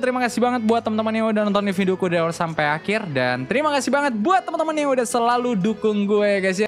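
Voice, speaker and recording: A man commentating on game roleplay in a slangy, exclamatory way, often repeating words. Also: very high voice. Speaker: gaming video creator and host.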